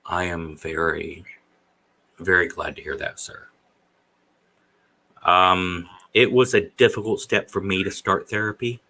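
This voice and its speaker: A man in his forties chats slowly on his live stream, his deep voice carrying a bright, forceful edge. He rambles and hesitates, filling the gaps with plenty of "uh"s. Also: steady tone, gravelly. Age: 40s. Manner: rambling, hesitant with many fillers. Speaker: stream host. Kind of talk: casual live-stream chat.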